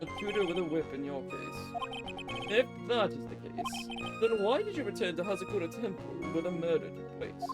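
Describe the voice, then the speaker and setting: A woman's voice: medium pitch, very animated, normal speed, loud music behind the voice, voice pushed up, natural voice. A woman voicing a stern, haughty prosecutor with a put-on foreign accent and a pointed, condescending way of questioning. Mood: stern, probing.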